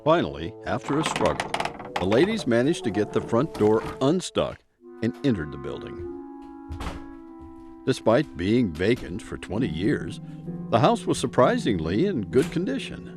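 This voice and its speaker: A man's voice: on the low side, wildly swooping pitch, moderate pace. A man narrating a scripted suspense story in a dramatic storytelling style, with music behind him.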